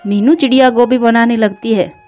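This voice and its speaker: A woman's voice: fairly high pitch, fairly even intonation, normal speed, darkish tone, soft background music. A woman narrating a scripted children's story.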